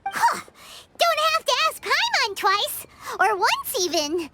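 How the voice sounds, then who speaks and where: A woman's voice: slow, very noisy, full character voice. A woman voicing a tiny, cheeky floating fairy companion who loves food, in a game cutscene. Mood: eager. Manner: squeaky, excitable, talks about herself in the third person. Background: background music.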